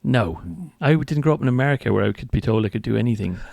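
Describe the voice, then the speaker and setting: Brief: male co-host, quick rambling delivery, gravelly fry in the voice, dry close mic. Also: medium pitch, expressive, darkish tone, no room sound. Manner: quick, rambling, self-mocking. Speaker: podcast co-host. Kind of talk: casual chat.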